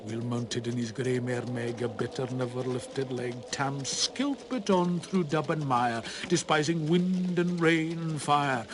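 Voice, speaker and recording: A man giving a dramatic, theatrical recitation of a narrative poem, swinging between hushed and roaring delivery. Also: medium pitch, a little slow, hardly any pauses, noisy background. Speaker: poetry reciter.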